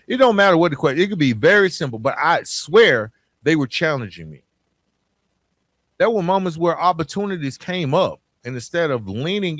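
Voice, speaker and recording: A man's voice: a little high, no echo, clean studio sound. A man with an Atlanta Southern accent giving an informal motivational podcast monologue, conversational and emphatic, often repeating phrases and posing questions. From Atlanta, southern United States. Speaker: podcast host.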